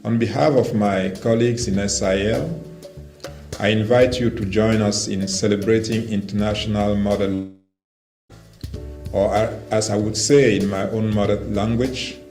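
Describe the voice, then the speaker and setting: A man from Cameroon with Romala as his first language speaks in a low voice, reading a prepared statement with formal, measured care. The sound is roomy and echoing. Faint music plays underneath. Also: fairly even intonation, a little mellow. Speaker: language development representative. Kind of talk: prepared statement read aloud.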